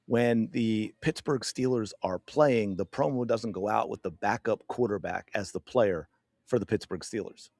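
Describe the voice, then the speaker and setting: Male sports podcast host, slightly low voice, hoarse, emphatic, dry close mic. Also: moderate pace, no room sound. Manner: emphatic, animated, addresses viewers directly. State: losing his voice. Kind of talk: opinionated sports commentary.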